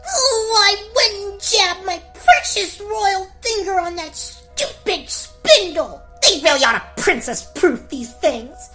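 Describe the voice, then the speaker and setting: A woman's voice: very high-pitched, animated, slow, bright, forceful tone, faint music behind, voice pushed up, exaggerated voice. A woman voicing a spoiled, airheaded young princess in a comedic fairy-tale parody, haughty and whiny. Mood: whiny, indignant.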